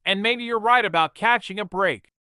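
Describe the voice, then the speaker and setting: A man's voice: sits high, moderate pace, bright, forceful tone, clear, resonant tone, no room sound, studio quality, voice pushed up. A man voicing a tough teenage girl who is hurt but keeps going, speaking in short, breathless lines. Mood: strained, wry.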